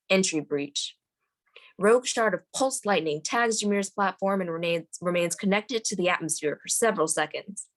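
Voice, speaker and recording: A woman's voice: on the low side, low-quality recording. A woman narrator reading screenplay stage directions aloud at a table read.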